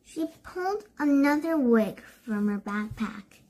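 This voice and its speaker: Slow, high-pitched young storybook reader. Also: lively intonation, a little mellow, low-quality recording. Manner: halting word by word, stumbling and self-correcting. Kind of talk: reading a storybook aloud.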